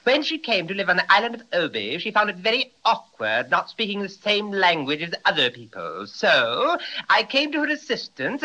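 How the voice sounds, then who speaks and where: A lofty, pedantic male owl scholar who lectures on grammar and breaks into deep hooting calls. His voice swoops widely and rings brightly, in the style of a 1930s radio play. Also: heavily acted, rough recording. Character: pompous educated male owl professor, fussy grammar stickler. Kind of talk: 1930s radio drama acting.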